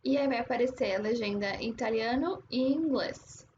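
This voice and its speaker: A woman's voice: on the high side, fairly quick, muffled recording, noisy background. A young adult woman, casually explaining her study apps step by step as host. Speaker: language-learning host. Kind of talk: casual screen-share tutorial.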